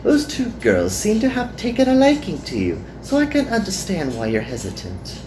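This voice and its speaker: A man's voice: high-pitched, wildly swooping pitch, moderate pace, a little mellow, voice pushed up. Guy doing a flirty bossy anime magical woman, drawls her taunts, condescending, echoey with some noise behind. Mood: probing. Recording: roomy echo, some background noise.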